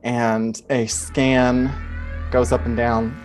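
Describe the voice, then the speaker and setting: Man narrating a tabletop game, mid-pitched. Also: little pitch movement, very slow, a little mellow, soft background music. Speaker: game master.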